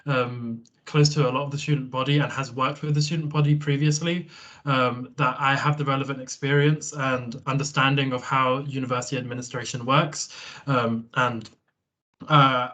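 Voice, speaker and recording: Male candidate in his twenties, monotone and hesitant, video-call answer. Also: mid-range voice, lo-fi sound. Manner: hesitant, many ums, flat delivery. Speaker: rector candidate. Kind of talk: answering a panel question.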